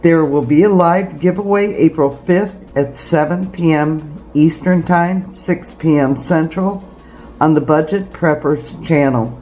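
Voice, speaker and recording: A woman's voice: sits low, unhurried, mellow, soft background music, poor audio. A woman hosting a homesteading video, talking straight to viewers in an earnest, emphatic way with rhetorical questions. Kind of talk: direct-to-viewer preparedness talk.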